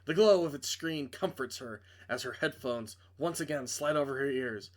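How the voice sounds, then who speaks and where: A man's voice: medium pitch, moderate pace. A man reading a visual novel aloud as a dramatic narrator, sometimes stumbling over words. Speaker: reader playing a visual novel.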